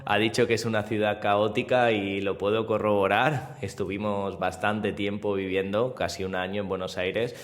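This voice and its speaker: Mallorcan man, casual host, low voice, long room reverb. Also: flat delivery. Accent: Spanish, Mallorca. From Mallorca, Spain. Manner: casual, drawn-out, uses mild swearing. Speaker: podcast host. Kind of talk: conversational interview.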